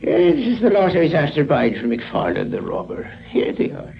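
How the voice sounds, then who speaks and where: An actor playing an affable, talkative Irish junk shop keeper in a 1950s radio drama. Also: male voice, fairly high pitch, huge pitch swings, normal speed, gravelly, exaggerated voice. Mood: obliging. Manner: genial, roundabout, talkative. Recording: muffled old radio recording.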